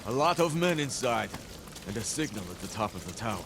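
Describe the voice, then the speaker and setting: A brisk scout from a video game with a lively, shifting pitch. Clear game ambience surrounds his report. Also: background noise. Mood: urgent. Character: adult male scout reporting.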